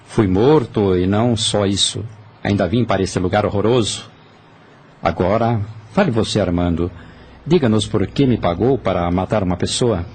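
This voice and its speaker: A man's voice: lowish voice, moderate pace, darkish tone, husky. An actor with a Brazilian accent playing a guilt-ridden dead hired thug confessing his crimes in an audio drama, in a remorseful manner.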